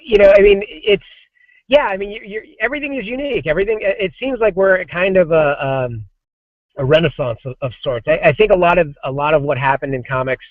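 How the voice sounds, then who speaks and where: Forties man, chatty podcast guest, slightly high voice, restarts a lot; dry, muffled lo-fi recording. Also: a little fast, no echo. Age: 40s. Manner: rambling, many restarts and fillers. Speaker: comics creative director, guest. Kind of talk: casual podcast interview. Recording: dry, dull lo-fi sound.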